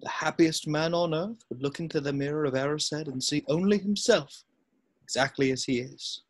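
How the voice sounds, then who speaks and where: A man playing a wise, gentle old wizard headmaster in a scripted read, speaking in measured, formal phrases. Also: a little high. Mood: gentle, solemn. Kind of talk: scripted table read acting.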